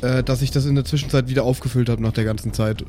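A man's voice: medium pitch, fairly even intonation, fast talker, warm tone, a little hiss or hum. A man playing in an improvised tabletop game, chatting casually and dropping in English phrases. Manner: slangy, drops in English phrases. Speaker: player in a tabletop podcast.

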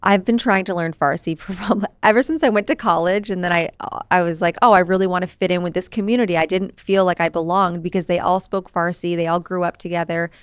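A woman's voice: fairly low pitch, fairly even intonation, fast, hardly any pauses, clean tone, no room sound. An American woman co-hosting a podcast, speaking earnestly and reflectively in casual chat and laughing easily. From United States. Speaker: podcast co-host.

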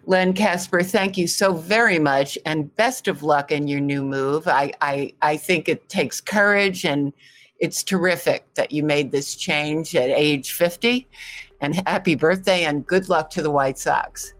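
Low-pitched woman interviewing. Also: a little slow. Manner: direct, probing. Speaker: interviewer, podcast host. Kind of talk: interview questioning.

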